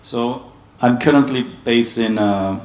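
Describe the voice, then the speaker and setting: A man from Hungary giving a conference panel talk, steady and hesitant with many ums. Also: medium pitch, takes it slowly, roomy sound. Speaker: panel speaker. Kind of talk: conference presentation.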